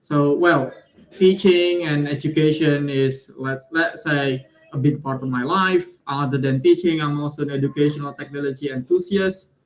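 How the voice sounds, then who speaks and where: A man's voice: on the high side, moderate pace, rough recording. A man from Indonesia, a guest English teacher answering politely with many fillers. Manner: polite, lots of fillers and agreeing murmurs. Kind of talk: interview answers.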